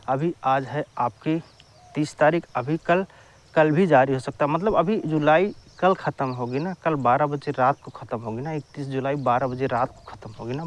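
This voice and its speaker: A man's voice: mid-range voice, creaky. A man giving an informal explainer to viewers, repeating his phrases for emphasis. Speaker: exam-news YouTuber.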